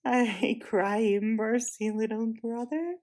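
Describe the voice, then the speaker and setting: A soft, musical witch queen who coaxes and half-mocks everyone she speaks to. A woman reading the story puts her on, keeping the voice quiet and sweet. The pace is unhurried and the tone stays a touch high. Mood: coaxing. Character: sly, soothing witch queen, coaxing and half-mocking.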